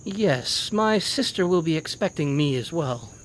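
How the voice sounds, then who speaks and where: A polite, evasive male villain, voiced by an actor in a radio play, putting on neighborly concern. His delivery is slightly hesitant. Clear background noise with chirping insects surrounds him. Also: a little high, animated, measured pace. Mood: evasive, relieved. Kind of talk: audio drama acting.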